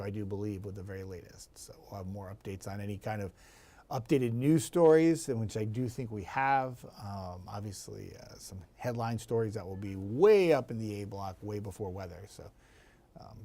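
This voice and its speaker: Chatty raspy-voiced male meteorologist. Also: fairly low pitch, brisk, mellow. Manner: chatty, rambling, laughs easily. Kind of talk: casual chat to viewers.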